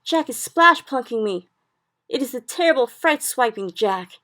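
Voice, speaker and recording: A woman's voice: high-pitched, expressive, moderate pace, pushed and bright, pitched above the performer's own voice. A woman voicing a huge brutish male storybook giant, screeching and begging in frantic, broken grammar. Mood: screaming panic. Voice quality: roaring, bellowing, screeching. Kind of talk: storybook character voice.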